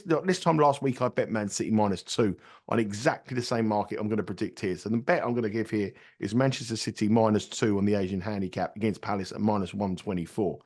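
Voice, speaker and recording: Man, blocked nose from a cold, casual podcast talk, repeats himself. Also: on the low side. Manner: rambling, repeats words and restarts sentences. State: congested with a cold. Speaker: betting tipster on a podcast. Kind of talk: casual betting analysis chat.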